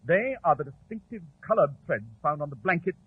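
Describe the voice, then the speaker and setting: A man's voice: fairly high pitch, expressive, brisk. An actor playing a brilliant, pompous male detective in a 1940s radio drama. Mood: superior, incisive. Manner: crisp, pompous, dryly sardonic. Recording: tinny, muffled old 1940s radio recording.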